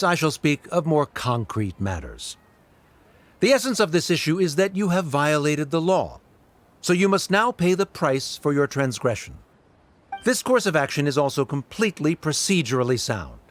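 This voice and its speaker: A man's voice: fairly high pitch, natural voice. A man voicing a haughty academic official who argues with cold, formal condescension. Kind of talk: game voice acting.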